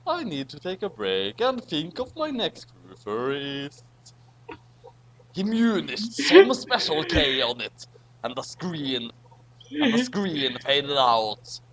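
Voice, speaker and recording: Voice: mid-range voice, wildly swooping pitch, slow pace, fairly bright tone, poor audio. A reader doing a comedic dramatic reading of badly written fan fiction, stumbling and repeating phrases over the garbled text.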